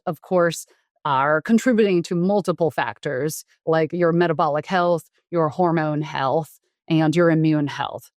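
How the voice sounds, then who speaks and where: A woman doctor who grew up in the US after being born in Taiwan, explaining frankly in a relaxed interview with many fillers and restarts. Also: low-pitched, no room sound, studio quality. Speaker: doctor and guest. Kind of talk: conversational interview.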